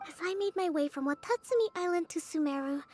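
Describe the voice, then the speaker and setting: A woman voicing a gentle, composed young military strategist in a video game, speaking in a polite, measured and softly formal way. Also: very high-pitched, soft background music. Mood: calm, reflective. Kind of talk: English game voice acting.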